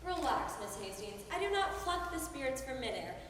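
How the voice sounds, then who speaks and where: A grand, theatrical phony medium, full of airs, played by an actress, with a high voice. She sounds far away in a large echoing hall with a clear hiss. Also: big pitch swings, normal speed, fairly bright tone. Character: pompous fake spirit medium. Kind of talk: amateur stage acting. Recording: echoey hall from far off, noisy.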